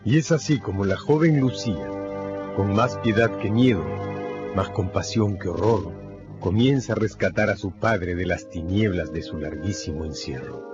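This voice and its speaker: A man narrating a radio novel in a scripted, dramatic storytelling style with measured phrasing. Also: deep voice, highs cut off, over music.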